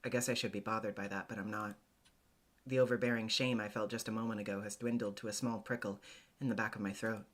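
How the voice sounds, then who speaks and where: A man narrating a visual novel in the first person, acting it out in an introspective, hesitant, deliberate way. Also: fairly low pitch, little pitch movement, fairly bright tone. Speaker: voice actor, narrator.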